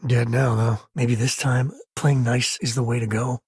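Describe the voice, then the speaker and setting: An actor playing an easygoing, wry spacefaring explorer and devoted father in a video game, with a husky, gravelly voice and a folksy, conversational manner. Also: medium pitch, flat delivery, normal speed, darkish tone, no echo. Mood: wry, guarded. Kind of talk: video game character dialogue.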